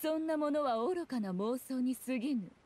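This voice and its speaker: Imperious, sly fox-spirit shrine maiden. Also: female voice, high-pitched, expressive, moderate pace, warm tone. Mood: scornful. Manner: archaic, imperious speech, composed and scornful. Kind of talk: Japanese anime-style game acting.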